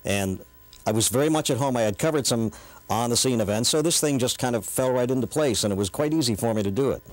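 A man's voice: mid-range voice, fast, dry recording, low-quality recording. A middle-aged man, a radio newsman, casually recalling his work in an interview. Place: radio studio.

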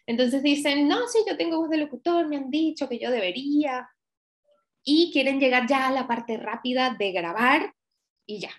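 A young woman from Venezuela with a Venezuelan accent, a voice actress chatting as a guest over a video call, lively and laughing often. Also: high-pitched, moderate pace. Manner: lively, laughs often, acts out quoted lines. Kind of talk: casual interview chat. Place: home room, video call.

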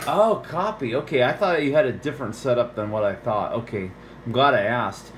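A man's voice: medium pitch, a little fast. American guy on the cb, chatty and rambling, roomy echo, bit of background noise. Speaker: CB radio operator. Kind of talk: casual technical radio chat.

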